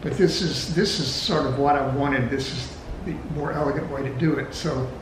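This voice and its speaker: An older man in his sixties or beyond, chatting casually at home in a halting, musing way. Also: mid-range voice, steady tone. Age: 60s or older. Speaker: vlog host's companion. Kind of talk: casual chat while opening gifts. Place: indoors at home, roomy space. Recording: roomy echo, noticeable background noise.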